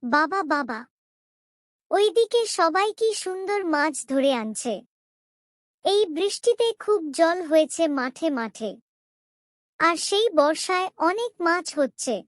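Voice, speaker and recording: A woman's voice: extremely high pitch, takes it slowly, pauses often, no echo, studio quality, exaggerated voice. A woman playing an eager, chatty young village girl in a cartoon fairy tale. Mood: excited, pleading. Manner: excited, childlike, chatty.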